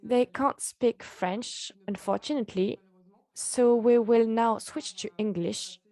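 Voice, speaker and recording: A woman's voice: a little high, slow pace, darkish tone. A woman speaking as a presenter, giving a conference presentation.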